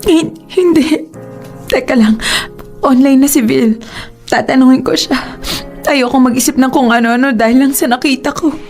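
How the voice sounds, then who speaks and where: A jilted girlfriend in a Filipino radio drama, her voice very high. She is wounded, disbelieving and pleading, with a Filipino accent, and music plays underneath her. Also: normal speed, long pauses, a little mellow. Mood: disbelieving, defensive.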